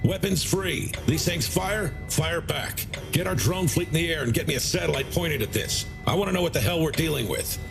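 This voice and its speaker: A man's voice: a little high, normal speed, gravelly, hardly any pauses. A man playing a firm, defensive military colonel in a video game cutscene. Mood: urgent, commanding. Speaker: military officer. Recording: loud music behind.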